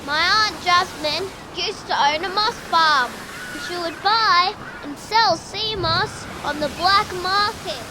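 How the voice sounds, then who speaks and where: A woman's voice: very high-pitched, takes it slowly, noisy background. A woman playing a plucky, earnest little girl in an adventure radio play, wide-eyed and exclamatory. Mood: curious, gentle.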